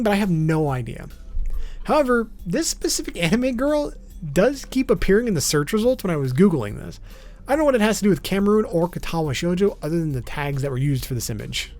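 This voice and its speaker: A man hosts an explainer video in a fairly high voice that moves up and down a great deal. His delivery is informal and matter-of-fact. Music plays behind his narration. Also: very animated, a little fast, a little mellow. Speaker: video host. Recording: background music under voice.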